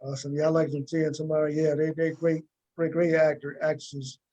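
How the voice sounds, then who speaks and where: A man's voice: a little high, little pitch movement, fairly quick, warm tone. A man hosting a casual interview over a video call, often restarting and repeating his phrases. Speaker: interviewer, show host.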